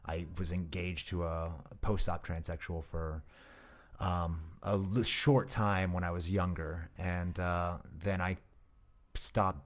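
Guest comic, 30s, very deep voice, casual and halting. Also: male voice, fairly even intonation. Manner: halting, many restarts and fillers. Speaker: guest comedian. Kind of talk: casual interview chat.

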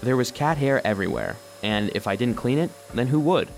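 An actor playing an earnest, sensitive household robot who narrates his own story for children in an expressive, heartfelt way. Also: mid-range voice, brisk, creaky, slight background noise, low-quality recording. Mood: heartfelt, relieved. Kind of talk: scripted first-person storybook narration for children.

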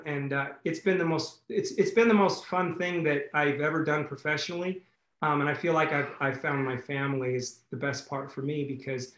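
A man hosting a community video call, chatting with excitable bursts and restarts. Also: a little high, roomy sound. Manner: excitable, quick swings to loud bursts, repeats himself. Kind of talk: enthusiastic casual chat.

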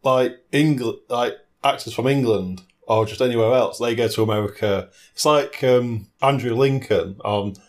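A man's voice: medium pitch, normal speed, hardly any pauses. A man chatting casually about films and games, rambling a little as he searches for his words. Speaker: film and game reviewer in a two-person discussion. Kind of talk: casual chat.

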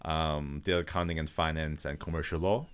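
A man from Malaysia with a slight Malaysian accent, a guest talking earnestly and haltingly in a casual podcast interview. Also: extremely low pitch, fairly even intonation, clean tone. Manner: earnest, halting, thinks aloud. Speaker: guest, robotics business founder.

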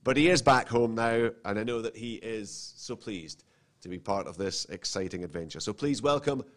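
Low-voiced man introducing the next speaker. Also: moderate pace. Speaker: master of ceremonies. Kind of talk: formal event introduction.

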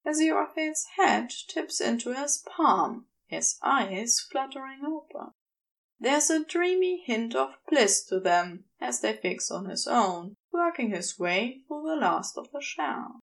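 A woman reading a piece of fiction in a measured, deliberate, intimate storytelling style. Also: high voice, very animated, creaky. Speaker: amateur audiobook narrator.

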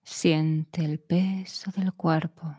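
A woman leads a guided meditation at a very slow pace, with a slightly low voice. She gives soothing, measured instructions in short phrases, leaving long pauses between them. The sound is dry and close. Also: little pitch movement, dark tone, slightly rough, no echo.

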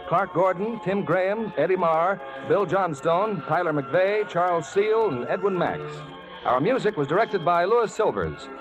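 A man's voice: high-pitched, muffled recording. A man announcing credits and reading advertising copy in a scripted 1940s radio style, on an old broadcast. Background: music.

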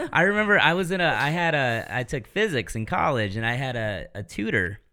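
A man in his thirties hosting a podcast, chatting casually and telling stories in a deeper voice. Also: wildly swooping pitch, moderate pace, pushed and bright. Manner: chatty storyteller. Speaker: podcast host. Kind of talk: casual chat.

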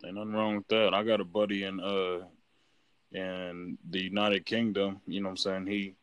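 A man's voice: low voice, flat delivery, moderate pace, fairly bright tone, smooth, no echo. A man in casual chat as a podcast guest, rambling with many fillers and restarts. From United States.

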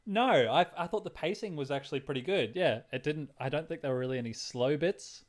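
A man's voice: fairly high pitch, a little fast. A man chatting casually as a podcast co-host, in a hesitant, rambling way. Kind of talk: casual chat.

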